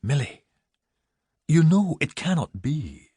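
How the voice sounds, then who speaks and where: A man voicing a gentle, sad but firm lady in an audiobook, speaking in a hushed, soothing manner. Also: on the high side, very animated, takes it slowly, breathy edge, no echo, studio quality, voice pushed up, exaggerated voice. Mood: firm, pleading.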